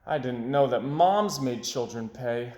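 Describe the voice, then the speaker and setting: A man voicing a dramatic, hard-done-by young boy in an expressive storybook read-aloud, theatrical and emphatic. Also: mid-range voice, a little slow, echoey room, natural voice. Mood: insistent. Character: young boy, dramatic, aggrieved, forever losing his money.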